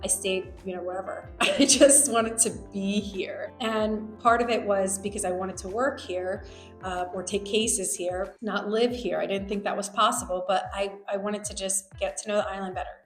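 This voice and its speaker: A woman who grew up in New Jersey, a lawyer, telling her personal story in a reflective, conversational way with many fillers. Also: mid-range voice. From United States, grew up in New Jersey. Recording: echoey room with faint music.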